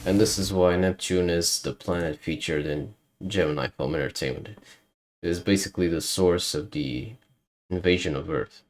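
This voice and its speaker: A man's voice: low-pitched, moderate pace. A man giving casual, explanatory commentary as a video host, talking informally as if thinking aloud. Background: music under the voice.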